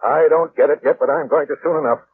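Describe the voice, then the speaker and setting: A man's voice: a little high, fast talker, lightly acted. A man playing a brusque, tough, assertive police detective in an old-time radio drama. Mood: stern. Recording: tinny, noisy old radio recording.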